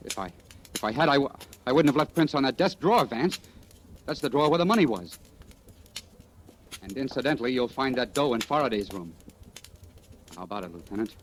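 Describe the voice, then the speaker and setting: A man's voice: medium pitch, lively intonation, fairly quick, pauses often, natural voice. An actor playing a cool, sardonic accused man in a 1940s American radio drama. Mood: insistent. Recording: noisy old 1940s radio recording.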